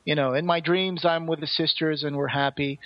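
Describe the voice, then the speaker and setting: A man chats casually on a podcast in a fairly high voice. He tells stories earnestly, rambling and halting as he goes, and the recording is somewhat dull and lo-fi. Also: steady tone. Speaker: podcast co-host. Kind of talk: casual podcast discussion, retelling a play.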